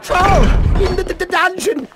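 An actor playing a timid, panicky professor with a nervous, halting stammer in a video game. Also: male voice, extremely high pitch, shouting, over music. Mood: terrified. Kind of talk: video game cutscene acting.

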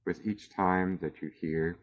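A man narrating a scripted guided meditation in a measured, soothing way with long pauses, on a dull recording. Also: low-pitched, steady tone.

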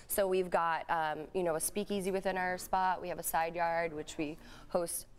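A woman's voice: on the low side, fairly even intonation, slight background noise. A woman in her thirties, guest on a live TV morning show, talking casually about her drink brand in a studio. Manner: casual, breezy, quick to joke. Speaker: drink company founder, guest. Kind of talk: TV studio interview.

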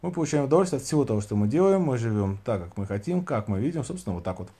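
A man's voice: mid-range voice, very animated, rapid-fire, mellow, slightly rough. A man in his thirties hosting a casual livestream, reading out viewers' questions and answering them into a phone held at arm's length. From Saint Petersburg, Russia. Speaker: livestream host. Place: small room indoors, lying back on pillows.

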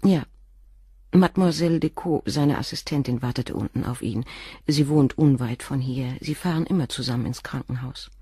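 An actress playing a composed, guarded doctor's wife in a radio crime drama, speaking in a measured, formal way with a soft husky voice. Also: female voice, extremely low pitch, dry recording, clean studio sound, exaggerated voice.

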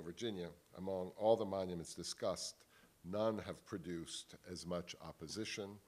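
A man, giving a measured academic panel talk at a table microphone in a wood-panelled hall, often restarting words. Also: low voice, darkish tone. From Italy. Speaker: panel speaker. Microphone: table microphone on stand.

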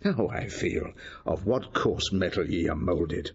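An actor playing a proud, scheming cardinal facing ruin, with declamatory, measured classical stage delivery in an audio drama. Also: male voice, low-pitched, animated, slightly rough, highs cut off, heavily acted. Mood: defiant, scornful.

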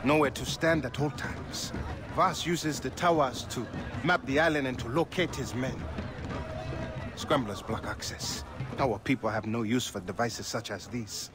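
An actor playing a solemn, assured male island mentor in a video game, with measured, formal, instructive speech. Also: medium pitch, background noise, close to an everyday voice. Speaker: guide character.

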